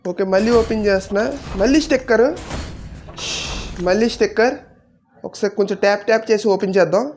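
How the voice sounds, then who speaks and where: A woman's voice: medium pitch, fairly even intonation, quick pace, darkish tone, soft background music. A woman hosting a gaming video with casual commentary to viewers.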